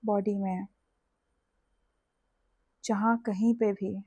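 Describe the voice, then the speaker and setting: An Indian-accented woman guides listeners toward sleep. Her delivery is extremely slow and soothing, with long pauses between short phrases. The voice has a slight creak and sits close and dry, with very little room sound. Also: medium pitch, flat delivery, warm tone, no echo. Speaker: hypnosis and meditation guide. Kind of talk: guided sleep hypnosis with body scan.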